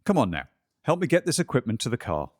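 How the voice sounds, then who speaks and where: A man's voice: medium pitch, huge pitch swings, fast, noisy background. An actor in a community radio play, playing a tired, skeptical male scientist who dismisses what others say. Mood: impatient.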